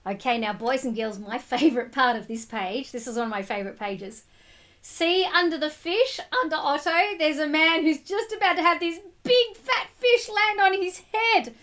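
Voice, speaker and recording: Bright, high-pitched woman reading to children. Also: moderate pace. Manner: expressive, addresses children directly. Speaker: school storytime reader. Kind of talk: picture book read-aloud for children.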